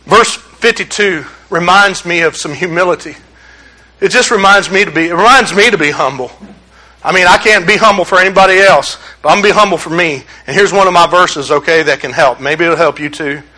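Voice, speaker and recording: A man's voice: high voice, brisk, pushed and bright, poor audio. A man from Detroit preaching a sermon as pastor, conversational, folksy and openly sarcastic. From Detroit, USA.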